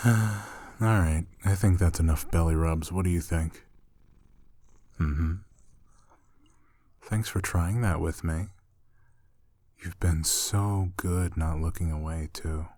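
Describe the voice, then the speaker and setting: A young man acting as a gentle, teasing roommate in an intimate ASMR roleplay, speaking soothingly and coaxingly, close and soft. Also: deep voice, fairly even intonation, takes it slowly, pauses often, no echo. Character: gentle, teasing male roommate soothing a shy catgirl-like companion.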